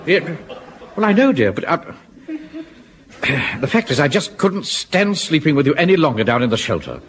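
An actor playing a pompous, blustering middle-aged captain in a radio sitcom. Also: male voice, a little high, wildly swooping pitch, unhurried, heavily acted. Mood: flustered. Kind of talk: 1970s radio sitcom acting. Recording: old radio recording with hiss.

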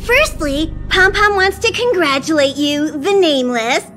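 Cute little creature, squeaky-high, self-important, formal announcer, game character. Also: female voice, lively intonation, unhurried, fairly bright tone, faint music behind. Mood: proud, congratulatory. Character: small cute creature train conductor, proudly formal. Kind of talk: video game voice acting.

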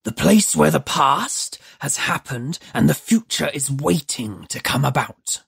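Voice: medium pitch, sing-song, unhurried, gravelly, husky, dry recording, clean studio sound, heavily acted. A storyteller voicing a bald, toad-like male demon of the past who speaks in a harsh, scornful drawl. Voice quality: harsh, grating voice.

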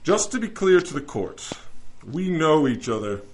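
A man's voice: a little high, sing-song, moderate pace. Man acting a wry courtroom lawyer, swooping delivery. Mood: probing, smooth. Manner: theatrical, probing questioning. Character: wry, shrewd male lawyer pleading in a celestial court. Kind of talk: audio drama courtroom acting.

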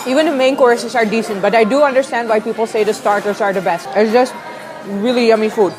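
A young woman reviewing food on camera for a vlog, expressive as she tastes and talks. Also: a little high, a little fast, over music. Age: young adult. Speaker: food and travel vlogger. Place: busy restaurant dining room. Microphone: clip-on lapel mic. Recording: restaurant crowd chatter behind.

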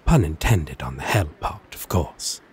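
Man acting as male demon, ASMR roleplay, low-pitched, drawn-out halting delivery, flirty, self-deprecating, slight background noise. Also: animated, a little mellow. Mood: tender, self-deprecating. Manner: halting, hesitant, with long pauses. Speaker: audio roleplay voice actor.

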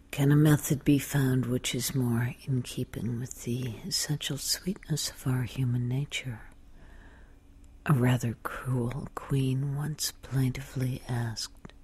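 A brooding, lovesick confider in a radio drama. Her low voice is extremely husky and breathy, almost whispered. She speaks intimately and deliberately. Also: darkish tone, full character voice. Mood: bitterly musing. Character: brooding, lovesick woman confiding painful thoughts. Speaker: actress in a radio play.

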